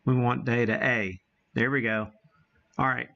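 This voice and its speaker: Muffled, lo-fi stream audio carries an American man with a middling-low voice. He talks slowly and casually, thinking out loud as he hosts a live demonstration. His phrasing is folksy and homespun. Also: fairly even intonation, vocal fry. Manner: folksy, mild homespun exclamations. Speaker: livestream host demonstrating ham radio gear.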